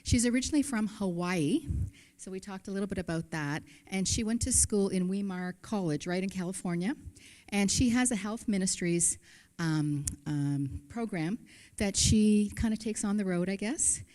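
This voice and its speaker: A woman with a mellow, warm-toned voice hosting an afternoon seminar. She introduces the speaker and announces the program in a friendly, chatty manner, now and then hesitating with an um or uh. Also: medium pitch, moderate pace. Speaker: event host.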